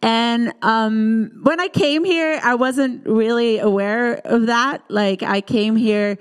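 A Dutch woman in her thirties tells her life story to a church congregation. Her voice sits a little high and sounds smooth and clear. She speaks earnestly, with frequent pauses and fillers, and the sound rings through a reverberant church hall. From Netherlands. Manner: earnest, halting, with many fillers. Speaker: missionary giving a testimony. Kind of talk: personal testimony in church.

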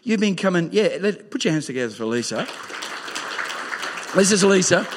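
A man's voice: high-pitched, very animated, moderate pace, crowd noise, noisy background. A man, the pastor, introducing a guest speaker to his congregation at a service in an upbeat, rallying way. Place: church hall.

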